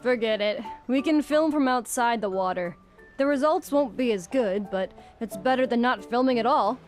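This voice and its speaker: A woman playing a brisk, pragmatic film director in an audio drama. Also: on the high side, a little mellow, soft background music, close to an everyday voice.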